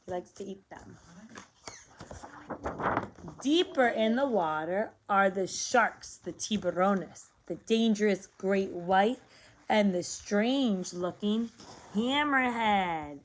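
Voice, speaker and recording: A woman's voice: mid-range voice, takes it slowly, a little hiss or hum. A woman reading a picture book aloud as a lesson for young children, explaining simply, asking questions and repeating words.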